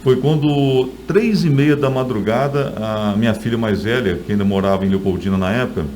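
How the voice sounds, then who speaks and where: A man's voice: medium pitch, normal speed, darkish tone, slight background noise. A middle-aged man with a Brazilian accent, an author telling stories in a conversational interview.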